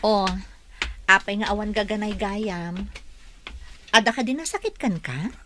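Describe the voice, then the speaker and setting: A woman's voice: mid-range voice, unhurried, a bit bright, slight background noise, lightly acted. A woman playing a patient, devoted and hopeful Filipino wife in a radio serial, earnest and pleading. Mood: concerned, gentle. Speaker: radio drama actor.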